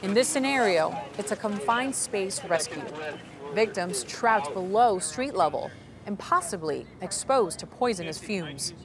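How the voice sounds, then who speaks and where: A woman host reading a scripted science narration, bright and inviting, asking questions of the viewer. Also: mid-range voice, expressive, unhurried, a crowd in the background.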